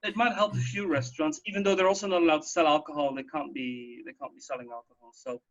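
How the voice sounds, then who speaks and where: Guy with a highish voice doing chatty radio co-host talk from home, bit dull lo-fi audio. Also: fairly even intonation, quick pace. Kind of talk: casual radio chat.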